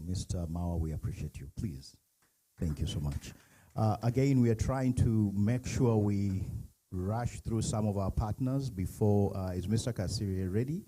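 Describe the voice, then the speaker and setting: Male emcee, very low and dark voice, a bit husky, brisk and coaxing. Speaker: master of ceremonies. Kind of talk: event emcee talk.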